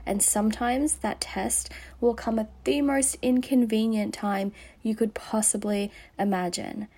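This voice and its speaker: A hoarse woman losing her voice, hosting a podcast with an earnest, encouraging motivational monologue that slips into a breathy whisper. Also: medium pitch, fairly even intonation, measured pace, warm tone. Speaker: podcast host.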